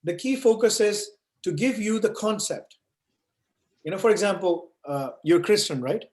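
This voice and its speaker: A man's voice: high voice, lively intonation, normal speed, a little mellow. A man running an online course session, explaining ideas with everyday analogies and checking in with "right?" and "you know". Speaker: online course instructor answering questions. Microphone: video call. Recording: echoey room.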